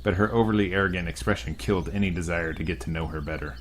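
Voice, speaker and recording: Deep-voiced man joking through a game. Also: quick pace, slight background noise. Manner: crude joking asides. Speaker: let's play host. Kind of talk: reading a visual novel aloud with commentary.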